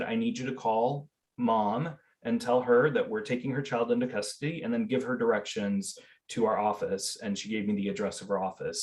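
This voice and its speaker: A man's voice: mid-range voice, a little mellow. A middle-aged man who grew up speaking Spanish, telling stories in a casual interview with many fillers and restarts. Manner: earnest storytelling with fillers and restarts. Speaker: interview guest.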